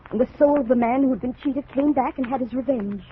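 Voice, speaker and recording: A woman's voice: high-pitched, quick pace, close to an everyday voice. A woman playing an earnest, troubled young plantation woman in 1940s radio melodrama, with a dramatic delivery. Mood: grave, wondering. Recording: tinny, noisy old radio recording.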